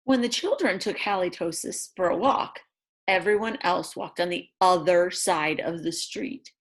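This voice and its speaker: Woman reading a picture book aloud, lowish voice. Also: measured pace. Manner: expressive, exclaims and gasps over the pictures. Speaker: read-aloud storyteller for children. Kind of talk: picture book read-aloud with asides to young listeners.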